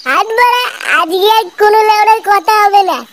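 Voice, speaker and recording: A man voicing a foul-mouthed, hot-tempered drunken village husband in a comic cartoon, with a pitched-up voice and crude, blustering delivery. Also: brisk. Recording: very noisy cartoon soundtrack.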